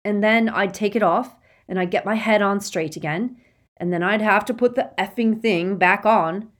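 A woman's voice: medium pitch, normal speed, voice pushed up, natural voice. A woman voicing a troubled teenage boy, speaking in a strained, pleading way. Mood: anguished. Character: anguished teenage wizard boy, shaken.

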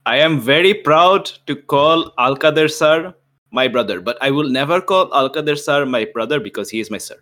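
Male game player, wry banter, pitch moving up and down a lot. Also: lively intonation, moderate pace, sharp tone.

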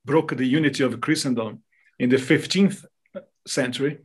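A man with a Brazilian accent, whose first language is Portuguese, speaks as an interview guest. His voice sits at a middle pitch. He talks in the explanatory way of a public speaker on religious subjects. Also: normal speed, breathy edge. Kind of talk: interview talk, explaining devotional topics.

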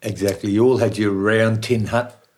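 An older man with a low voice and an Australian accent. He chats easily as an interview guest, telling stories and laughing often. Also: fairly even intonation, normal speed. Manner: chatty storyteller, laughs often. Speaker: guest musician. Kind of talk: casual interview.

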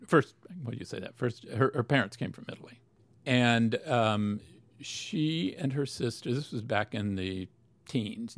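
A man with a low, gravelly voice explains things at length in an interview. He pauses often and restarts his sentences. The sound is dry and close. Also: dry recording. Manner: thoughtful, many fillers and restarts. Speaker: opera expert guest. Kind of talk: interview answers.

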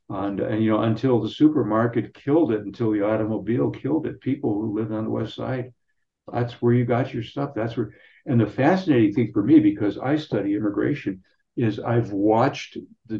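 An older man speaking as an interview guest, explaining and recounting in a conversational, halting way with repeated words. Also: fairly low pitch, creaky, warm tone. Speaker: guest local historian. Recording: slightly dull, lo-fi sound.

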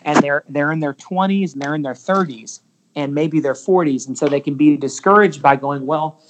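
A man's voice: fairly high pitch, normal speed, a little hiss or hum, low-quality recording. A man who is a worship leader, answering interview questions earnestly and explaining his points with homely examples.

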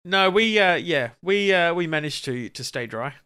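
Brisbane man, co-host, easygoing and sweary, bright and slightly high voice. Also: expressive, moderate pace, clean tone, no echo, studio quality. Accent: Australian, Brisbane. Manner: laid-back, swears casually. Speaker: podcast co-host. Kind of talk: casual chat.

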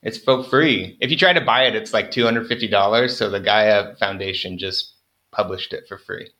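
A man's voice: on the low side, moderate pace, sharp tone. A young man, a farmer guest on an interview, chatting casually with lots of fillers and self-deprecating jokes.

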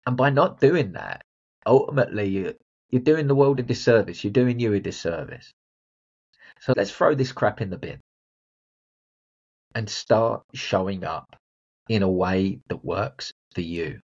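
Guy giving a blunt pep talk, kinda raspy, muffled recording. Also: fairly low pitch, a little slow, pauses often, a little mellow. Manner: emphatic, blunt, direct address to listener. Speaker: podcast host and confidence coach. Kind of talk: motivational solo podcast.

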